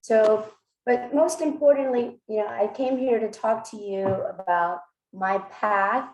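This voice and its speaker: A woman in her forties who grew up in South Korea and whose first language is Korean, introducing herself in a measured way with frequent fillers and restarts on a video call. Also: a little high. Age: 40s. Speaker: presenter. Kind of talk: personal introduction talk. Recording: echoey room, mic far from speaker.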